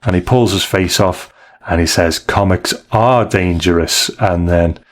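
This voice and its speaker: A man's voice: low voice, unhurried. A man hosting a podcast, recapping and reviewing stories in a casual, slightly hesitant conversational way. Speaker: podcast host.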